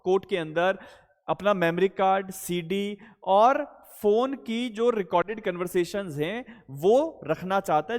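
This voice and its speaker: A man with an Indian accent giving an explanatory law lecture, posing questions and answering them in a didactic way, mixing in English legal terms. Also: sits high. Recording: long hall-like reverb.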